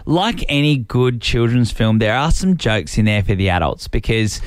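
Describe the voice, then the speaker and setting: A man's voice: mid-range voice, normal speed, smooth, no room sound. An Australian man hosting a morning radio show, chatting with enthusiasm in a studio. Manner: chatty, enthusiastic, lively storytelling. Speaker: radio host. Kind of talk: casual chat. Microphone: studio mic.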